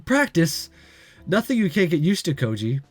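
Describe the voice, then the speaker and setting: A man's voice: fairly high pitch, very animated, normal speed, no echo, soft background music. A man voicing a cheerful, tough young woman who works at a café, reading a visual novel aloud. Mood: chirpy.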